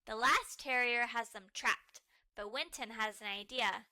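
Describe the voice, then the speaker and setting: A woman reading children's stories aloud as a storyteller, in an expressive, deliberate manner. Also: fairly high pitch, dry recording. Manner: animated, deliberate, models fluent reading.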